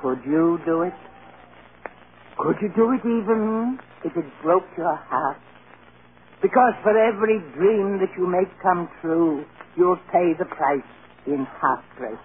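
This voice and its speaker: A woman's voice: on the low side, unhurried, natural voice. Woman playing a feisty old prairie grandmother, tinny radio. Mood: solemn. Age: older. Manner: emphatic, folksy, scolding. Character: feisty elderly prairie grandmother, tough yet loving. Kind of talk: 1930s-40s American radio acting. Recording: muffled, noisy old radio broadcast.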